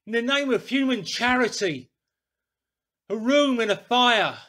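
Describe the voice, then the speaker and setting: A man's voice: very high-pitched, lively intonation, a little slow, bright, forceful tone, voice pushed up, heavily acted. Man acting out a curt, imperious mysterious stranger. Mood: desperate, shouting. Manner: emphatic, curt. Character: mysterious bandaged male stranger, curt, imperious, desperate traveller. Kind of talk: audiobook character acting.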